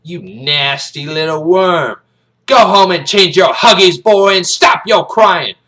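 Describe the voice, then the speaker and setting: A man voicing a furious, hardcore Marine drill officer who barks drawn-out orders and mocking insults at young cadets. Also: extremely high pitch, animated, bright, forceful tone, voice pushed up, exaggerated voice. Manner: barking, drawn-out commands full of mocking insults.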